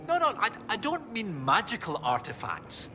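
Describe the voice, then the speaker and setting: An actor playing an enthusiastic research professor in a video game, explaining things in a pedantic, eager way. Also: male voice, sits high, huge pitch swings, moderate pace, background noise. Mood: eager, amused. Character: enthusiastic, bookish male research professor and archaeologist. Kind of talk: video game dialogue acting.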